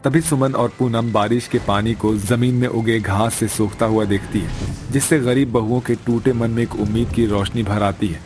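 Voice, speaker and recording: A man's voice: mid-range voice, steady tone, moderate pace, a little mellow. A man narrating a dramatic cartoon story from a script. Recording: music behind the voice.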